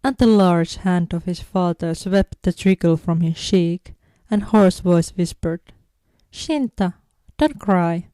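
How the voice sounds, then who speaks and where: A woman's voice: lowish voice, fairly even intonation, a little slow, hardly any pauses, dark tone, no echo. A woman reading a story aloud as a scripted narration. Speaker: fan fiction audiobook narrator.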